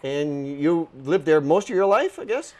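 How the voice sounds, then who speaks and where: A woman with a very low voice hosts a chat show in a TV studio. Her pitch swings widely while she gropes through her questions, restarting often. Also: sing-song, dark tone. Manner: rambling, halting, many restarts. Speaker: talk show host. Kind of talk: casual interview chat. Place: local TV studio.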